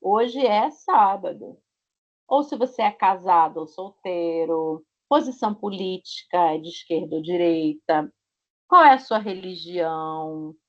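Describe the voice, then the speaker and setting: A woman with a Rio de Janeiro accent teaching an online Portuguese class, drawing out her example words slowly. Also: on the low side, lively intonation. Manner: slow, stretched-out example words. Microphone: video call. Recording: muffled video call audio.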